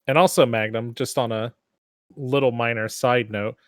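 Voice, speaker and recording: A man's voice: mid-range voice, steady tone, moderate pace, no room sound, studio quality. A man bantering casually as a panelist on a gaming podcast.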